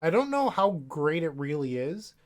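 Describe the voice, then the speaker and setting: A young man with a somewhat higher voice talks through his card game turns, a little sniffly from a cold. He thinks out loud with dry, self-deprecating remarks, sounding like he sits at a home desk mic. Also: sing-song, normal speed. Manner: thinks aloud, wry, self-deprecating asides. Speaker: gaming content creator. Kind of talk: casual gameplay commentary.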